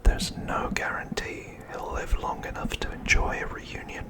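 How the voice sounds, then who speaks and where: A man hosting an ASMR video, speaking in a hushed, deliberate whisper. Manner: hushed, slow, deliberate delivery.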